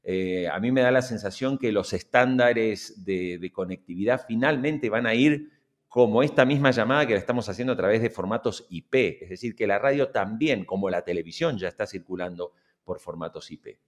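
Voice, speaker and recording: A man's voice: lowish voice, moderate pace. A middle-aged man with a Latin American Spanish accent hosting an interview, building long, emphatic questions into a close microphone. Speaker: podcast host, interviewer. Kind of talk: conversational interviewing. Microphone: large mic close in front.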